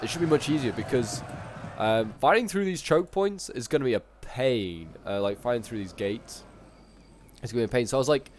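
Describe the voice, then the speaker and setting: A man's voice: mid-range voice, fairly quick, slight background noise. Sniffly man commentating a strategy game. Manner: chatty, with sudden excited outbursts at big plays. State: sniffly, coming down with a cold.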